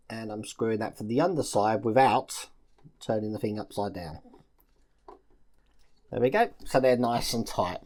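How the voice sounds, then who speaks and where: A man's voice: mid-range voice, a little mellow. A man chatting casually through a step-by-step build tutorial, explaining each move as he works. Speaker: host of a model-building channel.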